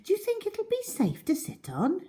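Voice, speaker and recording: A woman's voice: very high voice, huge pitch swings, normal speed, warm tone, voice pushed up, heavily acted. A woman voicing a cautious older schoolgirl in a children's storybook, hesitant and questioning. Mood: wary doubt.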